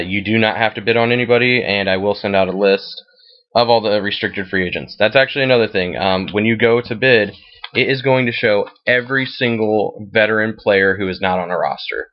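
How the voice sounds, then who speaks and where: A man's voice: fairly low pitch, lo-fi sound. An American man giving a casual, matter-of-fact screen-share walkthrough in an informal way. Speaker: fantasy football league commissioner. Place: voice-over at a computer, screen recording.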